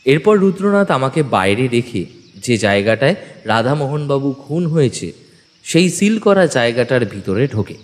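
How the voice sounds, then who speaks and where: A man's voice: mid-range voice, very animated, normal speed, in a large hall. A man narrating a scripted detective story in the first person, in a dramatic storytelling style.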